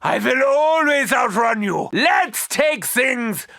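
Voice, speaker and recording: An actor playing an arrogant, menacing German-accented mad doctor in a video game, with grandiose, formal, theatrical delivery. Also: male voice, very high voice, big pitch swings, slow pace, gravelly, bright, forceful tone, full character voice. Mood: defiant, scornful. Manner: grandiose, formal, precisely worded. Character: arrogant, menacing male mad doctor battling undead hordes.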